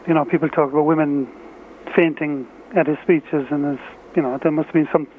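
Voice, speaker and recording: Irish poet interviewee , male actor: slightly high voice, casual, searching for words, dismissive, light background noise. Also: fairly even intonation, darkish tone, close to an everyday voice, rough recording. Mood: reflective. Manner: halting, informal, searching for words.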